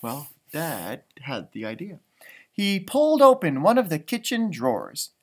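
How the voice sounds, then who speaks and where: Animated American man reading a storybook. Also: on the high side, measured pace, husky. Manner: animated, commenting on pictures. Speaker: storybook reader for schoolchildren. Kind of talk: expressive children's read-aloud with chatty asides. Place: indoors, small library room.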